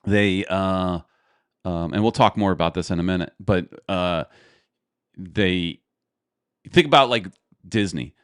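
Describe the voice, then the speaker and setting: A man's voice: low-pitched, a little slow, pauses often, clear, resonant tone, no room sound, clean studio sound. A man hosting a podcast, chatting casually and rambling as he thinks aloud. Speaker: podcast host. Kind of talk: casual chat.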